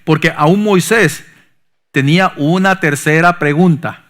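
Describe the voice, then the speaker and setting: Man, pastor, sermon, high-pitched, soft drops between emphatic lines. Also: unhurried. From Honduras. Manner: preaching with sudden soft drops and rising emphasis.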